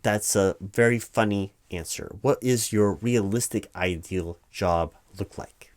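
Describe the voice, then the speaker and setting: A man playing a distracted hiring manager in a comedic one-sided job interview, rambling haltingly and repeating himself. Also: fairly low pitch. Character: distracted male corporate hiring manager, hesitant, patient, mildly baffled. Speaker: roleplay performer as hiring manager. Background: computer keyboard typing.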